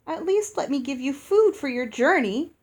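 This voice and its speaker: An excitable giantess mother from a storybook, acted out by a woman reader, pitched very high and pushed out loud. She gushes and exclaims over everyone like a delighted host, with big swoops in her delivery. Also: moderate pace. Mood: distressed, pleading. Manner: gushing, exclamatory. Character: effusive, excitable giantess mother hosting a wedding.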